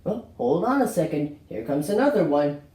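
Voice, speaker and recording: A host narrates a homemade puppet show in a mid-pitched, very animated voice. The delivery is theatrical and given to exclaiming, like a storyteller. The recording is roomy and echoey, with a little noise. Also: normal speed. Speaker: host showing a puppet collection. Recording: roomy echo with faint noise.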